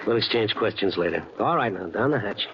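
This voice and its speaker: A man's voice: on the low side, animated, a little fast, dull audio, background noise, lightly acted. An American actor playing a wry, flirtatious Chicago newspaperman who narrates his own case in a hard-boiled 1950s radio drama style. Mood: coaxing, flirtatious. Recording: band-limited old radio recording, prominent music.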